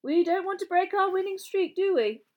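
A woman voicing a fussy, proper gentleman ghost with a prim, indignant manner. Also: extremely high pitch, animated, normal speed, voice pushed up.

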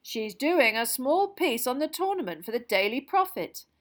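A woman voicing a boisterous, jolly middle-aged male official who talks loudly and heartily. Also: high voice, sing-song, normal speed, voice pushed up. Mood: jovial. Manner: loud, hearty, exclaiming.

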